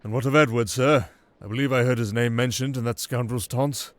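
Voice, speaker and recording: A man's voice: mid-range voice, fairly even intonation, a little fast, no room sound, close to an everyday voice. A man playing a loyal, polite retainer in an audio roleplay, speaking formally and deferentially. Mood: concerned, dutiful. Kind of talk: ASMR audio roleplay acting.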